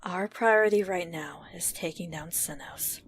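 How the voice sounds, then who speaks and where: Lowish, firm female team leader acted by a woman. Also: moderate pace, background noise, lightly acted. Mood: serious, cautioning. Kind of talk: dramatic audio drama acting. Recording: music bed behind the voice.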